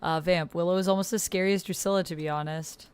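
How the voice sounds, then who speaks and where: A woman's voice: lowish voice, fairly even intonation, fast, darkish tone, clear, resonant tone. A woman in her thirties chatting informally and playfully as she streams, reading out typed roleplay and reacting to it. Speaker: streamer and player. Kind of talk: casual livestream chat, reading typed roleplay aloud.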